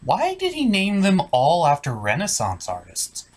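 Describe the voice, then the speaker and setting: A man's voice: high-pitched, wildly swooping pitch, a little slow, exaggerated voice. A man voicing a puppet butler character in scripted comic banter, formal, exasperated and full of questions. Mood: puzzled. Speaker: sidekick in puppet review show.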